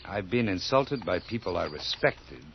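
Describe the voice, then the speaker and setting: An actor playing a polite, secretly sinister farmhand in a radio drama, with a terse, deferential and oddly intense way of talking. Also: male voice, sits low, expressive, normal speed, creaky. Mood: sinister, earnest. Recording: dull, noisy radio drama recording.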